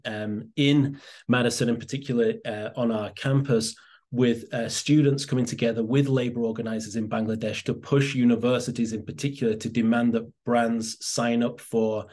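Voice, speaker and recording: A man's voice: fairly low pitch, darkish tone. A man giving an academic talk on a video call, hesitant, with many fillers and restarts. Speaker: presenter.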